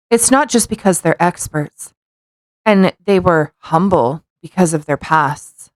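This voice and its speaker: Low-voiced woman, close dry sound. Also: no room sound, low-quality recording. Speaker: podcast co-host. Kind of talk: casual chat and reading a post aloud.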